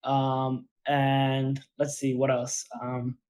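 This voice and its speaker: A man's voice: mid-range voice, completely flat delivery, drawn-out words, darkish tone. A man from Puerto Rico, a podcast guest, speaking casually and hesitantly with many fillers. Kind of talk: casual chat.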